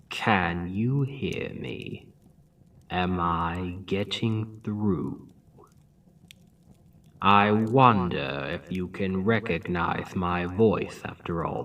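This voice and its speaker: A man voicing a scheming, seductive demon king spirit in an ASMR roleplay, taunting and mocking in a low, intimate tone that drops into whispers. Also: fairly bright tone, distant mic, full character voice. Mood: teasing. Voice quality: deep, slow, intimate. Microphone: close ASMR mic.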